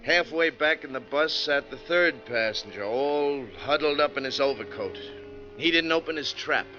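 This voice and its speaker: An actor playing a wounded, sardonic American bus driver recounting a grim story in slangy 1940s radio drama style. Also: male voice, a little high, moderate pace, sharp tone. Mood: grim storytelling. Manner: folksy, hard-boiled, slangy. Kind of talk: 1940s radio drama acting, slangy first-person narration. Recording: dull vintage radio recording, music behind.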